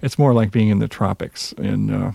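Man, Minnesota accent, jazz musician guest, hesitant and reserved, deep gravelly voice, dry close sound. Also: steady tone, mellow, no room sound. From Minnesota, USA. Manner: hesitant, reserved, many pauses and fillers. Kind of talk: reflective interview answers.

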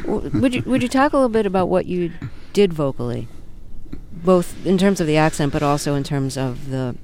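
A woman's voice: low-pitched, animated, a little fast, darkish tone, a little hiss or hum. A woman hosting a radio interview show, asking questions and reading scripted introductions into a studio mic. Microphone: close studio mic.

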